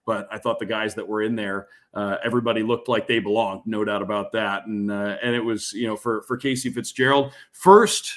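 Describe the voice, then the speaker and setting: A man's voice: lowish voice, steady tone, brisk, few pauses, fairly bright tone. A man who works as a radio play-by-play announcer, giving casual hockey analysis as a podcast guest. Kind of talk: casual sports analysis in an interview.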